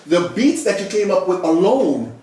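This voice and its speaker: A man with a heavy accent and a slightly high voice, chatting as co-host and stumbling over his words, repeating them eagerly. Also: big pitch swings, normal speed, warm tone, far from the mic. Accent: Spanish-influenced accent. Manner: stammering, repeats words, enthusiastic. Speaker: podcast co-host and singer. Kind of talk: casual chat. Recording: very echoey room.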